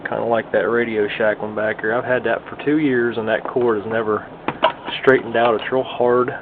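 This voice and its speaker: Folksy guy walking thru a workshop repair, plain talk, thin phone-like sound w/ clear background hum. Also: mid-range voice, steady tone, normal speed. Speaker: hobbyist radio restorer giving a demonstration. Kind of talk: casual hands-on tutorial. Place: indoor home workshop bench. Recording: muffled phone-like audio, loud room hum.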